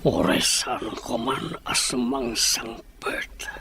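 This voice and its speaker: Slow, weary master of the house played by a man. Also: mid-range voice, creaky, whispered. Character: ailing, bedridden master of the house, weary husband. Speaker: drama actor. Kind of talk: Ilocano audio drama acting.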